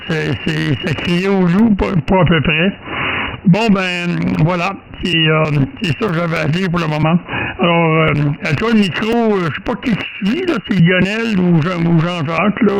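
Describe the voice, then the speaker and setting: A man's voice: high-pitched, normal speed, vocal fry. A man with a Canadian French accent chatting casually as a radio operator, hesitant and often repeating himself while he searches for words. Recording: noisy amateur radio audio.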